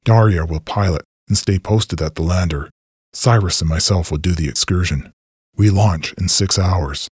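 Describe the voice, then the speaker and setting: A man's voice: sits low, normal speed, darkish tone, slightly rough, no echo, clean studio sound. A man voicing a domineering, impatient old scientist who leads a space mission in an audiobook, speaking in a curt, commanding, condescending way. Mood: brisk, commanding.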